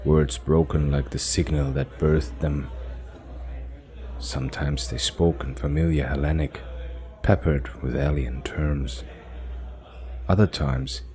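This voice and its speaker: A man narrating a cinematic fantasy audio drama in a solemn, dramatic manner with short measured phrases. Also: very deep voice, a little mellow.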